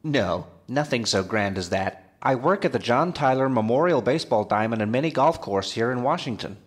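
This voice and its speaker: An actor playing a timid, earnest forest ranger, polite and matter-of-fact, in a comedic audio drama. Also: male voice, mid-range voice, expressive, normal speed, close to an everyday voice.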